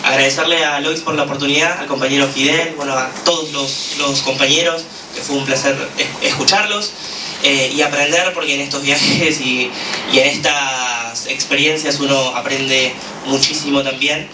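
A man with an Argentine accent giving an impassioned political speech at a gathering, full of "eh" pauses. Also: medium pitch, fairly even intonation. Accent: Argentine Spanish. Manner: fervent, with frequent "eh" fillers. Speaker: activist speaker at a political gathering. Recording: echoey room, from a distance, noisy.

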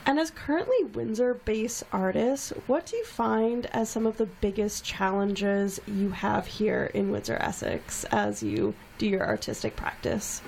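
A woman's voice: mid-range voice, unhurried, vocal fry, hardly any pauses, darkish tone, a little hiss or hum, poor audio. Woman interviewer, friendly, asks long rambling questions, plain mid voice. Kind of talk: conversational interview.

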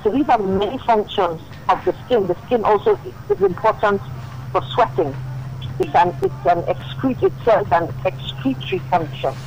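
A woman, a dermatologist, calling into a radio show and explaining calmly, with many "you know"s. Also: mid-range voice, normal speed, slight background noise, low-quality recording. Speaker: dermatologist guest.

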